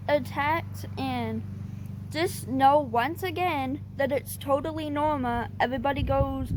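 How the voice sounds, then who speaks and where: A woman vlogger giving casual advice, talking in a halting, hesitant way with stutters and restarts. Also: sits high, a little mellow, slight background noise. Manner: hesitant and plain-spoken.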